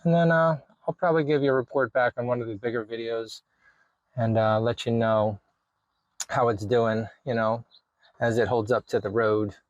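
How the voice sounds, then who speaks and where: A man's voice: medium pitch, fairly even intonation, moderate pace, no echo, lo-fi sound. Man casually talking through a DIY job, dry sound. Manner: informal, thinking aloud while working. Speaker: video creator showing a DIY radio install. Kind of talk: casual hands-on vlog narration.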